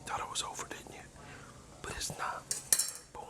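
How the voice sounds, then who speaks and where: A man hosting a cooking ASMR video in a casual, playful, soft-spoken way, often slipping toward a whisper and repeating his phrases. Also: normal speed. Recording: clear sizzling and frying noise. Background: food sizzling, kitchen stirring sounds.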